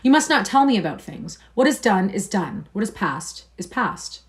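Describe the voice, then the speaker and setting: A woman voicing a bored, vain young gentleman in a read-aloud novel, languid and dismissive. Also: medium pitch, big pitch swings, normal speed, close to an everyday voice.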